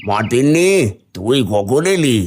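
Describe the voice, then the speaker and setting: A man's voice: medium pitch, expressive, measured pace, darkish tone, slight background noise, close to an everyday voice. A man voicing a cunning but mild village fisherman in a cartoon, with a wheedling, hesitant manner. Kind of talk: cartoon dialogue acting.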